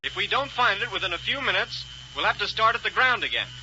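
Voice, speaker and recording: A heroic space captain with a very sharp, high-pitched, quick delivery. He is decisive and urgent in old radio-serial style. The recording is dull and hissy. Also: sing-song, full character voice. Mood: businesslike, concerned. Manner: businesslike, urgent. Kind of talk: 1930s radio serial acting.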